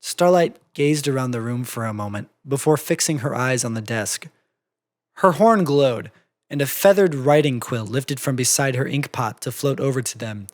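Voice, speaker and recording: A person reading a story aloud as a scripted narration, in an expressive, dramatic storytelling way. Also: mid-range voice, moderate pace, no room sound. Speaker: fan fiction reader.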